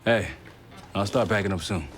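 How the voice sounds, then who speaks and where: An actor playing a wary male intelligence operative with a husky voice in a game cutscene. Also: fairly low pitch, normal speed, close to an everyday voice. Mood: pensive, wary. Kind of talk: restrained cinematic game acting.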